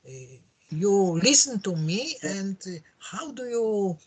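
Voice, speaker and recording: Casting: Polish-accented English learner, husky voice, very animated pitch, hesitant casual conversation. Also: slow. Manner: hesitant, repeats phrases.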